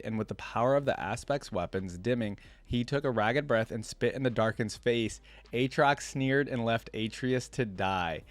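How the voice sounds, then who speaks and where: A man's voice: lowish voice, dry recording. A man hosting a game lore reaction video, reading text aloud and adding informal remarks with little tag questions. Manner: informal, often checks in with tags like "right?" and "yeah?".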